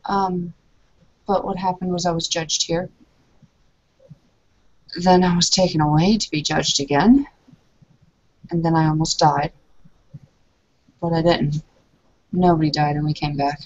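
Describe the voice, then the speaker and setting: A woman speaking as her character in a tabletop roleplaying session, earnest and pausing to think mid-sentence. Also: deep voice, fairly even intonation, darkish tone. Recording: muffled lo-fi recording.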